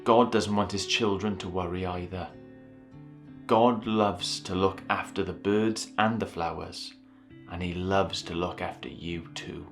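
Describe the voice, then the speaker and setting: Low-pitched man reading to children. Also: steady tone, unhurried, fairly bright tone, soft background music. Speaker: story reader and presenter. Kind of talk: children's Bible story reading and chatty sign-off.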